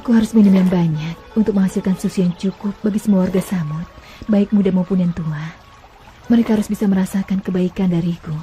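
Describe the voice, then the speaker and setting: A woman voicing a gentle, devoted mother she-camel who nurses her calf, speaking calmly in a dubbed cartoon. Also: medium pitch, fast talker, faint music behind, natural voice. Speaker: voice actor.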